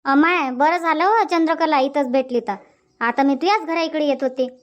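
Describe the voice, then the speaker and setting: A woman's voice: sits high, moderate pace, no echo, natural voice. A woman voicing a chatty village mother in a cartoon comedy, speaking colloquial Varhadi Marathi in a homely way. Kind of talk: cartoon comedy dubbing, everyday family chat.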